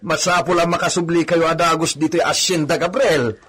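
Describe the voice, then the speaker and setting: A man's voice: on the high side, fairly even intonation, brisk, fairly bright tone, husky. A man with an Ilocano accent playing a nervous, deferential, hesitant henchman in a radio drama. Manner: hesitant, stammering.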